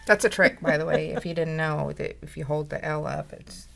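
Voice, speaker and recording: Woman, 40s, co-host. Low gravelly voice, rambling self-mocking humor. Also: fairly even intonation, normal speed. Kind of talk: casual chat.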